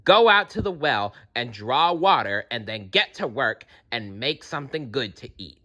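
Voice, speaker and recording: A wicked old witch from a storybook, acted out by a man reading aloud. The delivery is commanding and scheming, with forceful tone and lively swings in pitch. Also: moderate pace. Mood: bossy, menacing. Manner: commanding, menacing. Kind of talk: storybook character voice in a read-aloud.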